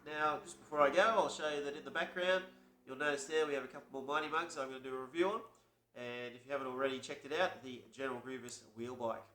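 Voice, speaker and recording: A man, who has a cold, chats casually through a toy review, relaxed and hesitant with many fillers. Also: medium pitch, fast talker, echoey room, a little hiss or hum.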